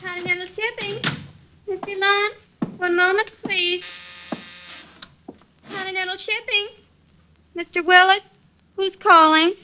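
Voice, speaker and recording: An unruffled secretary with a very high voice. She is polite and formal, like an office receptionist. The sound is a dull old radio recording. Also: steady tone, unhurried, long pauses, noisy background. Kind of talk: old-time radio acting.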